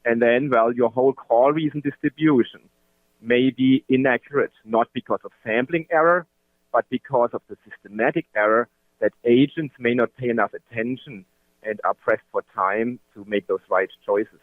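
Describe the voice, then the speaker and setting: Phone-line male webinar presenter, halting delivery. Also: medium pitch, dry recording. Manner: halting, with frequent interjected "well" and self-questions. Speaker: webinar presenter from a call analytics company. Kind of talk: semi-scripted business webinar presentation. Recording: narrow-band, phone-like dry line.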